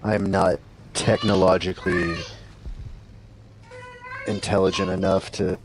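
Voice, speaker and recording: Man, very deep voice, gravelly, relaxed and self-deprecating, noisy home mic. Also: slow pace. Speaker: co-player on stream. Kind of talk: casual chat on a game stream. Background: household noise.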